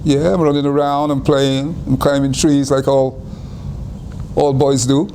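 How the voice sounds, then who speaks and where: An older man from Guyana, telling his life story in an interview in an unhurried way, often repeating and restarting phrases. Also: medium pitch, flat delivery, background noise.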